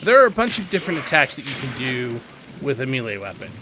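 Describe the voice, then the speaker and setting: A man's voice: mid-range voice, big pitch swings, fast, music in the background, poor audio. A man giving a casual, instructive video game tutorial in an opinionated, emphatic way. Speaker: video game guide host.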